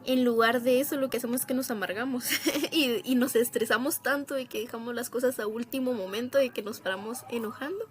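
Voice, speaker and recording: Bubbly young Guatemalan woman. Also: a little high. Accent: Guatemalan Spanish. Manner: bubbly, quick to laugh. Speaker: podcast co-host.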